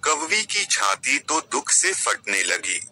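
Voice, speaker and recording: A man narrating a scripted children's moral story in a deliberate, expressive storybook manner. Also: mid-range voice, a little hiss or hum.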